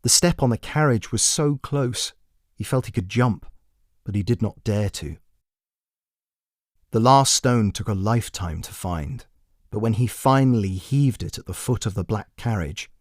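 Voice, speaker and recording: A man narrating a dramatic scripted fantasy story with measured, deliberate phrasing. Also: on the low side, dry recording, studio quality. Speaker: author and narrator.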